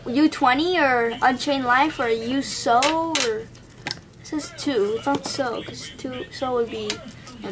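Rambling high-voiced young Indian woman. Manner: rambling, thinks aloud, corrects herself. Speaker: gadget reviewer. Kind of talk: casual unboxing vlog. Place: home desk, indoors. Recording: noisy home camera recording.

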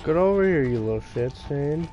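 A young man with a stuffy nose and a raspy voice, chatting loosely and swearing at enemies while he streams a game. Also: on the high side, lively intonation, a little fast, muffled recording, music in the background. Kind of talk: casual gaming commentary.

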